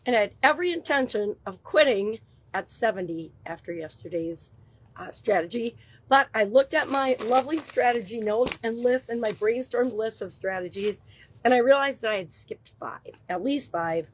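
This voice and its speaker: A middle-aged woman with a hoarse, gravelly voice, casually giving a daily business tip as host, chatty with filler words. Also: a little high, expressive, normal speed, rough recording. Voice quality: husky. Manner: upbeat, chatty, thinks aloud with fillers. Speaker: business coach and show host. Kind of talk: daily business tips monologue.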